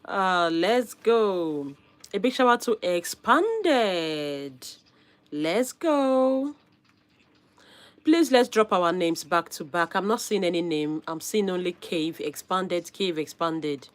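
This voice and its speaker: A woman hosting a live stream, her voice a little hoarse from a cold. She talks at an unhurried pace, and her pitch swings widely as she stays upbeat and laughs often. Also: lively intonation. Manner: upbeat, calls out viewer names with frequent laughs. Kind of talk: casual live stream chat.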